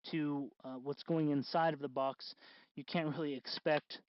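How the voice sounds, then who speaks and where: A man hosting a do-it-yourself tutorial in casual, unscripted talk, halting and correcting himself as he goes. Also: fairly high pitch, fairly even intonation, no room sound, lo-fi sound. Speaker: host of a DIY costume electronics tutorial.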